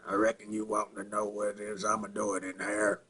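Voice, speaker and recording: A man acting a simple-minded rural Southern man in a halting, plainspoken dramatic film monologue, with a throaty rasp and grunts. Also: lowish voice, completely flat delivery, bright, forceful tone. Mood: flat, matter-of-fact. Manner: halting, repetitive, plainspoken, folksy.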